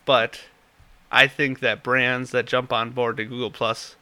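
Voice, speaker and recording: A young man co-hosting a loose tech chat, his voice bright and forward even through a stuffed-up nose. He talks at an ordinary pitch and wanders through his points, often losing his place mid-thought. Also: measured pace. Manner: rambling, loses his train of thought. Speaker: podcast co-host.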